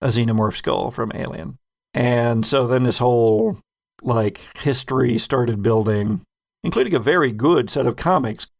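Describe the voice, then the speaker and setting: A man's voice: mid-range voice, measured pace, vocal fry, no room sound, clean studio sound. A man hosting a podcast, chatting casually and explaining things in a rambling, digressive way. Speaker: podcast host and game master. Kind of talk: casual retrospective chat.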